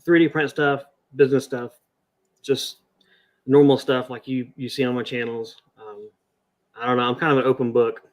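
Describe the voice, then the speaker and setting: Guest, male, offhand and joking, average pitch. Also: flat delivery, moderate pace. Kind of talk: casual live-stream chat.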